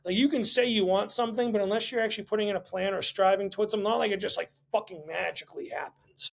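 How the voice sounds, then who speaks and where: A man's voice: sits high, fairly even intonation, quick pace, gravelly. A man in his thirties telling personal stories candidly in casual conversation, blunt and prone to swearing, as a guest on a podcast. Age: 30s. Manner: profane, blunt.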